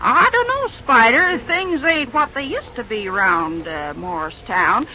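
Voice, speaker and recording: A performer playing a gruff but kindly woman who runs a railroad restaurant, speaking in a folksy country way with hesitant pauses, in an old-time radio drama. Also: mid-range voice, animated, pushed and bright. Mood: businesslike. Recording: noisy, dull early radio recording.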